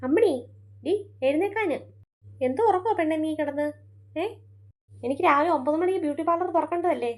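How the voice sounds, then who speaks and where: A woman's voice: high-pitched, a little fast, pauses often, a little mellow, natural voice. A young woman playing a busy mother in a home-made family skit, fussing and scolding. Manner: fussy, nagging, scolding. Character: busy mother who runs a beauty parlour.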